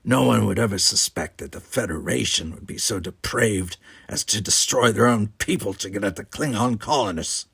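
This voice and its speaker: A man acts out a hot-tempered Klingon colonist in an audiobook. He sounds gravelly and rough, sneering with open contempt and quick to accuse. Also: fairly low pitch, fairly bright tone, no room sound, heavily acted. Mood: contemptuous. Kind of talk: audiobook character voice.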